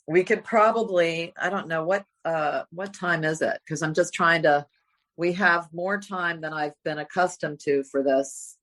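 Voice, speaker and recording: A woman facilitating a recovery workshop over a video call, speaking gently and haltingly with many fillers. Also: sits low. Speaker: workshop leader.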